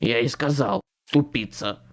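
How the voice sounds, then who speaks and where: A man's voice: on the high side, expressive, moderate pace, close to an everyday voice. A man voicing a tense, sardonic male schemer in an audiobook story, hissing and grumbling his words. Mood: tense, irritated.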